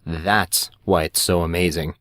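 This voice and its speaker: A man's voice: sits low, fairly even intonation, a little slow, a bit bright, no echo, studio quality, pitched below the performer's own voice. A man voicing an eager, slightly sheepish teenage boy in an audiobook, explaining emphatically. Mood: unsure, explaining. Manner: hesitant starts, stammering, emphatic explaining.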